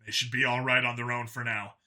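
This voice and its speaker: A man voicing a gruff, determined male cop who talks tersely and grumbles, in an audio story. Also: medium pitch, little pitch movement, brisk, bright, forceful tone. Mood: grim. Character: gruff, foul-mouthed male vigilante cop, grimly determined.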